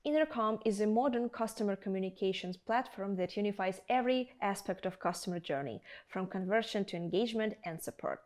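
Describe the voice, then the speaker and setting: A woman's voice: mid-range voice, brisk, few pauses, darkish tone. A young adult woman presenting a scripted promotional product review, addressing viewers directly with rhetorical questions. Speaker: video presenter. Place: indoor studio with plain backdrop.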